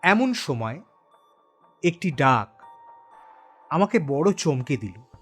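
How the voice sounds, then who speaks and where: Male horror storyteller, slow dramatic delivery, suspenseful pauses, lively pitch, music underneath. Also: husky. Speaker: story narrator. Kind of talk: dramatic scripted first-person horror narration.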